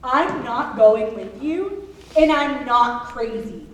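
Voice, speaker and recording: A woman playing a resolute, defiant jailed wife in a staged reading, firm and measured. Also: fairly high pitch, lively intonation. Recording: distant mic, echoey room, faint noise.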